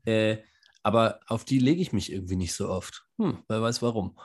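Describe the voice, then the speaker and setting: Hoarse man with a cold, dry jokes. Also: fairly low pitch, lively intonation, normal speed. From Northern Germany. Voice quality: scratchy. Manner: dry joking, teasing. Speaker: podcast co-host. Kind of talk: casual chat. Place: home kitchen. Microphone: home mic in a kitchen.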